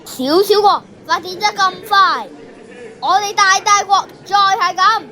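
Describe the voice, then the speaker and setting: A woman's voice: very high voice, unhurried, sharp tone, soft background music, exaggerated voice. A woman playing a commanding, anxious giant king in a children's radio play, speaking urgently and decisively. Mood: worried. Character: worried, commanding giant king of a big country.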